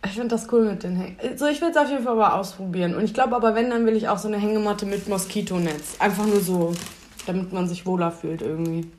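A woman in her twenties, a student guesting on a podcast, chatting playfully with a slightly rough voice. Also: medium pitch, quick pace, darkish tone, a little hiss or hum. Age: 20s. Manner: playful, teasing. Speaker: podcast guest, host's sister. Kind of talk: casual chat.

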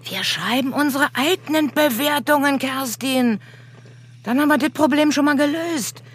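An actress playing a stubborn, gossipy older utility clerk in a comic audio drama, theatrical and mock-indignant. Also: female voice, sits high, moderate pace, close to an everyday voice. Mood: conspiratorial.

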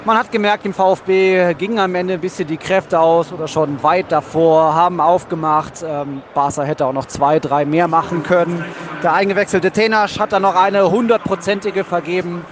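A man's voice: high voice, fairly even intonation, moderate pace. A man hosting a podcast, giving live football commentary from the stands. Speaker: podcast host. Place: outdoors in a football stadium. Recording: loud stadium crowd and noise. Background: crowd noise and applause.

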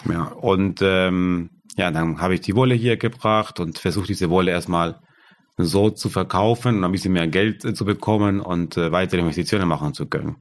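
A man, a guest talking about founding his yarn business, halting as he searches for German words. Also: sits low. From Argentina. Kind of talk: casual interview.

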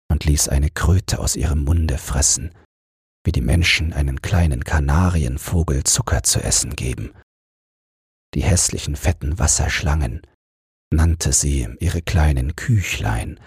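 A male audiobook narrator tells a bedtime fairy tale calmly. His voice is low and heavily breathy, captured close in a dry room. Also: dry recording.

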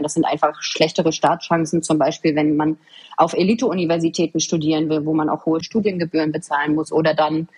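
A woman from eastern Germany with a husky voice, answering interview questions in an earnest, articulate and emphatic way. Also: deep voice, a little mellow. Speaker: podcast guest, government official. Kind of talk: interview answers, explanatory conversation.

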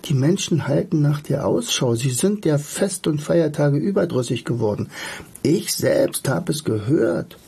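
A man's voice: on the high side, expressive, unhurried, creaky, dark tone, slightly rough, exaggerated voice. A man voicing an eager, breathless female bumblebee messenger, pleading earnestly in a storybook reading.

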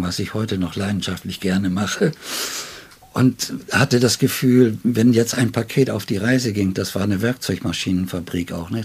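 Older male writer from northern Germany, interviewed. Rough husky tone, lower pitch, reflective storyteller with ornate phrasing. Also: darkish tone. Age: 60s or older. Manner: ornate wording with frequent "ne?" tags. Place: indoors at his home.

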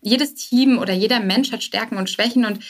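A woman's voice: a little high, brisk. A woman speaking conversationally as a founder being interviewed on a podcast, dropping English business terms into her talk. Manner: mixes English business jargon into speech.

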